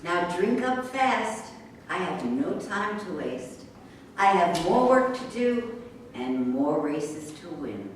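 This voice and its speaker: A woman reading the part of a defiant, sharp-tongued racehorse trainer in a staged reading, combative and sarcastic. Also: fairly low pitch, very animated, slow pace. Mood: pleased, brisk. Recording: echoey room, distant mic, faint noise.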